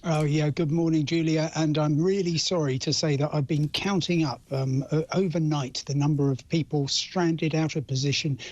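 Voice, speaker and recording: British man, husky voice, dry dull lo-fi audio, halting understated delivery, travel correspondent, live interview. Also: on the high side, steady tone, darkish tone, no echo. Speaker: travel journalist reporting as guest expert. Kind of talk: live news interview, explaining. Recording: dull lo-fi link.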